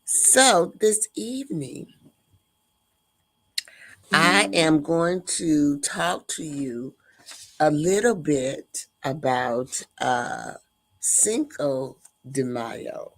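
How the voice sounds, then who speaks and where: Older woman, nasal voice, slow halting delivery. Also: low voice, expressive, no echo. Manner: slow, halting, many fillers. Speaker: host. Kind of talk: casual livestream talk.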